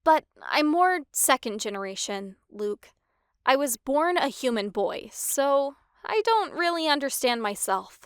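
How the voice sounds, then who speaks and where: Woman voicing an anxious, high-voiced teenage cat girl. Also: sing-song, a little slow, no room sound, clean studio sound. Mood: awkward, reluctant. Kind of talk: dramatized comic dub.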